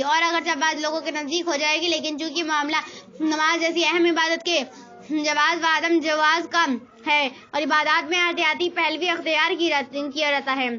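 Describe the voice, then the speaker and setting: A woman's voice: extremely high pitch, sharp tone. A woman reading a religious text aloud in a formal manner, as in a recitation of written rulings. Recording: dull lo-fi recording.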